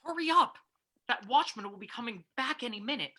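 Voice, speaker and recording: A woman's voice: a little high, expressive, a little fast, bright, forceful tone. A woman playing a nervous male criminal accomplice in a radio mystery, curt and irritably complaining. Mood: irritable. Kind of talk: old-time radio mystery acting.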